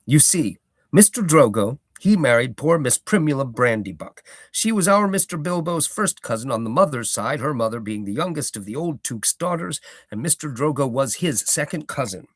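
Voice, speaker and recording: A man's voice: medium pitch, sing-song, normal speed, no echo, clean studio sound. A man voicing a garrulous old male hobbit gardener who rambles in a folksy, opinionated way. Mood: confiding.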